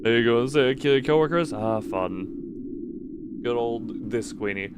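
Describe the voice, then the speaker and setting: A man's voice: medium pitch, fairly even intonation, takes it slowly, heavy background noise. A man doing casual game livestream commentary and reading game text aloud, often tripping over words and correcting himself. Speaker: game streamer.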